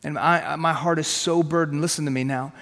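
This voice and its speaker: A man whose first language is Farsi preaches with brisk, conversational punch, firing rhetorical questions and teasing himself. His voice sits a little higher than most men's. A long reverb hangs around him, as in a big church hall. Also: steady tone, a bit bright. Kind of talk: sermon with personal testimony.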